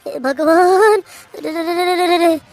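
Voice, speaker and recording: A woman playing an excitable village man in a children's cartoon story. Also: very high voice, slow, heavily acted. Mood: terrified, stuttering. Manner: teasing bluster, stutters when scared. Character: mocking, skeptical village man, Hariya's companion Balram. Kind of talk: Hindi kids' cartoon story acting.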